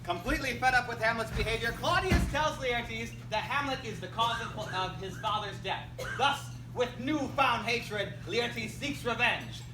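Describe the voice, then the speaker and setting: Woman narrator, high voice, comic scripted stage storytelling, wry theatrical asides, noisy echoey live recording. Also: moderate pace, few pauses, far from the mic. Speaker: narrator of a school stage play. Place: indoor live performance, distant microphone.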